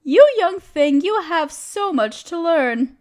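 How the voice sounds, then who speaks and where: Woman playing a high-voiced, genteel friendly witch. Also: lively intonation, slow, heavily acted. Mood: kindly, reflective. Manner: quaint, dainty phrasing with endearments. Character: friendly, genteel young female witch, chatty and kindly. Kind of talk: scripted audio roleplay speaking to the listener.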